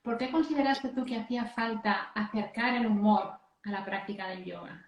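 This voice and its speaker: A woman's voice: medium pitch, moderate pace. A woman hosting a live interview, asking friendly questions and often checking in with "right?". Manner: affirming, tags questions with "¿no?". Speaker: interviewer and live-stream host. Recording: echoey room.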